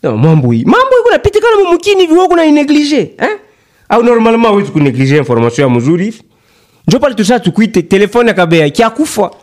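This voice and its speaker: Man playing talkative congolese-accented friend in radio drama, quick, pitch jumps around. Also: huge pitch swings, exaggerated voice. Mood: reproachful. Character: Omari, animated man urging friend to check facts. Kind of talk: radio play dialogue in colloquial register.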